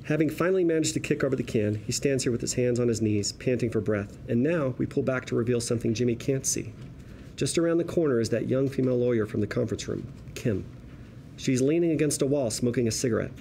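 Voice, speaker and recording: A man's voice: mid-range voice, quick pace, creaky, mellow, a little hiss or hum. A man reading screenplay stage directions aloud as narrator, in a wry, colloquial storytelling manner. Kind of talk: scripted screenplay narration, present tense.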